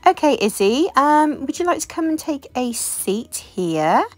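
A woman's voice: high-pitched, big pitch swings, unhurried, a little mellow, no echo. Friendly, chatty hairdresser played by a woman. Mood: gushing, reassuring. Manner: gushing, reassuring, chatty with clients. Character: friendly chatty female doll hairdresser, salon owner. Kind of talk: improvised doll story role-play. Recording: music behind the voice.

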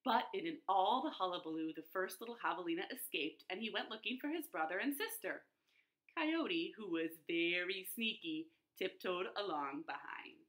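An American woman in her thirties reading a picture book aloud to young children, expressive and clearly phrased. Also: on the low side, normal speed, rough recording. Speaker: children's librarian, storytime reader. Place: living room at home.

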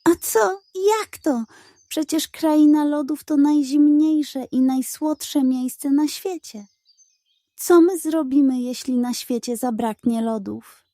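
A little boy puppy from a bedtime storybook, acted by a woman talks in a high, eager voice. He is brave and wide-eyed, exclaiming readily. The recording is close and dry. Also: slow pace, dark tone, no echo. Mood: startled, worried. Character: small, brave, eager young male puppy. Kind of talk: children's audiobook storytelling.

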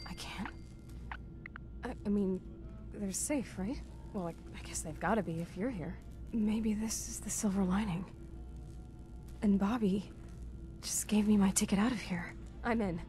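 An actress playing a tough, grieving young vault mechanic in a video game, speaking bluntly and emotionally. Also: female voice, medium pitch, measured pace, pauses often, music in the background, natural voice. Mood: hesitant, resolved. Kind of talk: video game character acting.

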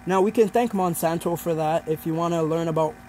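A man's voice: on the high side, a little fast, mellow, slight background noise. A young man giving an informal, explanatory student presentation in a hesitant way, feeling out his points as he talks. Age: young adult.

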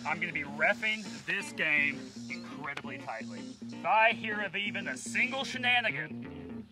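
A man's voice: high-pitched, very animated, moderate pace, over music. A man acting as referee of a fishing contest outdoors by a pond, talking in a mock-strict, theatrical way. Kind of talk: playful outdoor commentary.

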